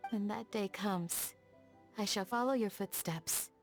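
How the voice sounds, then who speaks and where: Casting: actress as young female desert guardian for a game. Gentle, earnest, soft-spoken, mid-range pitch, music underneath. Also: a little slow, close to an everyday voice. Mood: calm, welcoming. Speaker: video game character. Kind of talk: scripted game dialogue, storytelling.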